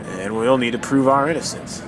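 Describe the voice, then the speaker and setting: An actor playing a cautious, plain-spoken amateur sleuth on a train in an audio drama, with a folksy, measured manner. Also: male voice, crowd noise, natural voice. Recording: clear vehicle-like background rumble.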